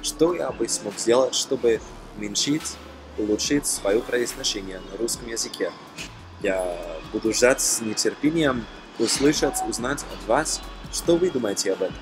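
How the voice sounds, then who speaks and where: A young man from Germany talking casually to camera as a non-native speaker, halting with frequent fillers and self-corrections. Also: medium pitch, lively intonation. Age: young adult. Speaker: language-learning vlogger. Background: background music.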